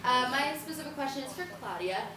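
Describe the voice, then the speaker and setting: A woman's voice: high voice, expressive, quick pace. A woman in an audience hesitantly asking casual questions of a panel. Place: indoor room, public post-show discussion. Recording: very echoey, noisy room, far from mic.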